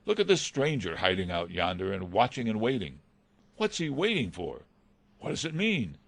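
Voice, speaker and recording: A man's voice: fairly low pitch, wildly swooping pitch, normal speed, gravelly, no echo, exaggerated voice. A man playing an anxious, deferential butler in a radio mystery drama, earnest and hesitant. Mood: agitated. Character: anxious male butler, deferential, dreading villainy.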